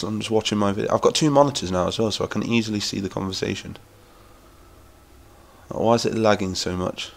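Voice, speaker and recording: Nasal English streamer with a cold. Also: male voice, fairly low pitch, gravelly. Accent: Lincolnshire English. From England. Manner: chatty, enthusiastic, rambling, reading chat aloud. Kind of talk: casual live-stream chat while gaming.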